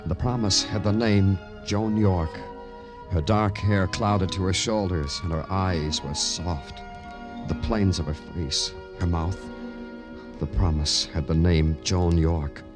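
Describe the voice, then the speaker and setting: An American actor playing a brooding, terse police detective with a wistful streak in an old radio crime drama. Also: male voice, low voice, fairly even intonation, slow, over music. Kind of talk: 1940s-50s radio crime drama acting.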